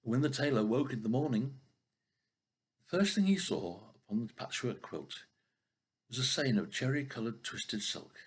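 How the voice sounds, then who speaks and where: An older man reading a children's story expressively, with a husky voice and the odd stumble over a word. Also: medium pitch, lively intonation, moderate pace. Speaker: storybook reader.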